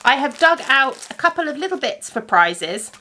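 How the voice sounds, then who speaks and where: Woman podcast host, 30s, slightly congested, rambling casual chat, bright tone, a touch high. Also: very animated, moderate pace, slight background noise. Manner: chatty and rambling, many ums. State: congested from a cold.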